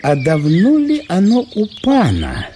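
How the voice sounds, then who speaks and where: A man's voice: high voice, huge pitch swings, measured pace, noisy background, pitched above the performer's own voice, full character voice. A man playing a curious, covetous country landowner in a radio monodrama, given to musing murmurs and sudden calls. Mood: inquisitive.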